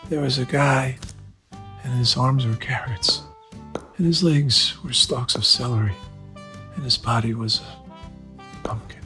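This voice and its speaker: A man playing an exhausted middle-aged father, drowsily improvising a bedtime story in halting phrases. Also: mid-range voice, bright, forceful tone. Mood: tired, gentle. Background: guitar music.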